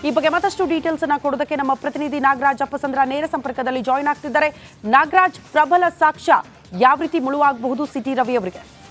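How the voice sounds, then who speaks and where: A woman anchors a television news bulletin in a very high voice. She leans on key phrases for dramatic emphasis. Faint music plays behind her. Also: fairly quick, a bit bright. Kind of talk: television news presenting, handing over to a reporter.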